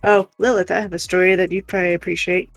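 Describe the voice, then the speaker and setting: A woman's voice: fairly low pitch, level tone, quick pace, dry recording, faint music behind. A woman co-hosting a livestream in casual, rambling chat. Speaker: livestream co-host.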